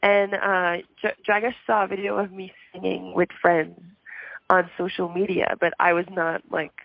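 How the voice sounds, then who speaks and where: A woman with a hoarse voice from a throat issue answers interview questions in a shy, halting way, with many pauses and little laughs. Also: on the low side, flat delivery, dry recording, lo-fi sound. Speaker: musician guest.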